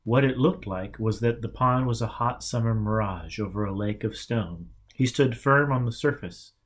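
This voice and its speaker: A man's voice: on the low side, normal speed. A man narrating a novel as an audiobook reader, acting out the dialogue within the story.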